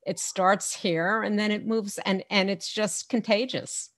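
A woman hosting a casual interview, talking in a reflective, agreeable and somewhat halting way. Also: medium pitch, fairly even intonation, no echo, studio quality. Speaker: interview host.

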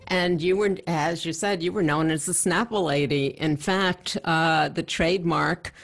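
Woman, Long Island accent, interview host, measured questions, lower voice. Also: vocal fry.

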